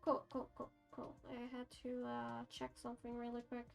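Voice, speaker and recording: A woman's voice: fairly high pitch, monotone, moderate pace, gravelly, soft background music. A young French Canadian woman with a French Canadian accent, chatting casually on a livestream, rambling with lots of fillers and restarts. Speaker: streamer.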